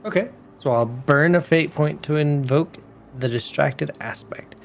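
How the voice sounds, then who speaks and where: A man's voice: fairly high pitch, a little slow, creaky, slight background noise. A man chatting casually as a player in a tabletop game, informal and slangy in how he talks.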